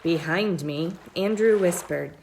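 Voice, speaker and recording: A woman reads a children's story aloud in a measured, expressive storytelling style, drawing out her phrases. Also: fairly low pitch, vocal fry, a little hiss or hum, low-quality recording. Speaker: storybook reader.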